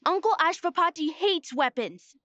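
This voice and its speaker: An actress voicing an indignant, fiercely loyal young child in a video game. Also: female voice, very high-pitched, animated, moderate pace, a bit bright, dry recording, muffled recording. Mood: indignant, defensive.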